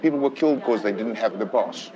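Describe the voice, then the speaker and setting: A man's voice: fairly low pitch, fast, rough recording. A man, a local guide explaining things on a walking tour outdoors. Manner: lively and explanatory. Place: outdoors in a township. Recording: outdoors with crowd noise. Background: crowd and music.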